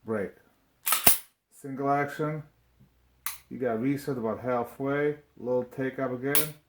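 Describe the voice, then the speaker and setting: A man from Slovakia with a Slovak accent presents a casual, unscripted review, speaking haltingly and often repeating his words. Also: mid-range voice, fairly even intonation, noisy background. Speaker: video presenter reviewing firearms.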